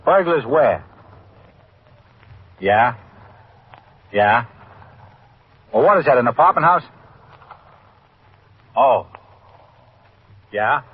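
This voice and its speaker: An actor playing an unhurried police desk sergeant taking calls in a 1950s radio drama. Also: male voice, medium pitch, animated. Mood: businesslike. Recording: tinny phone-like, hissy old radio recording.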